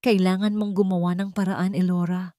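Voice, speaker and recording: A woman's voice: on the low side, flat delivery, moderate pace, dark tone, no room sound, clean studio sound, close to an everyday voice. A woman voicing an angry, scheming, greedy man who commands and threatens in a dramatic audio story. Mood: commanding.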